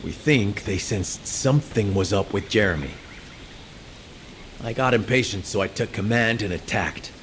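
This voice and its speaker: An actor playing an earnest military field officer in a game, briefing in a businesslike, matter-of-fact way. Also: male voice, fairly low pitch, normal speed, slight background noise, close to an everyday voice. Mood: businesslike, concerned. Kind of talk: scripted game dialogue.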